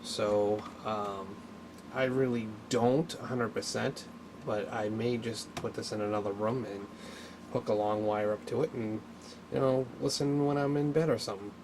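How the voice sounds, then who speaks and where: Guy with a creaky voice doing a casual hands-on how-to, kinda halting, noisy camera mic. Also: fairly low pitch, steady tone. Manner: halting, thinking aloud while working. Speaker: hobbyist presenter of a radio repair video. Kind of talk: casual unscripted hands-on tutorial.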